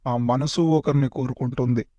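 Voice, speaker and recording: Parody cartoon: male actor as sly, insolent prince, deadpan, close and dry recording. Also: medium pitch, a little fast, no room sound, lo-fi sound, close to an everyday voice. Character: cheeky, cunning adult prince talking back to his father. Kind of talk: Telugu parody cartoon dubbing.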